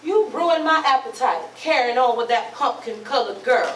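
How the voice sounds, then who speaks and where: A woman's voice: a little high, expressive, moderate pace, natural voice. A woman playing a jealous, outspoken young woman in love in a staged play, speaking heated Southern folk dialect. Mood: indignant, defiant. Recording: roomy, distant mic, faint noise.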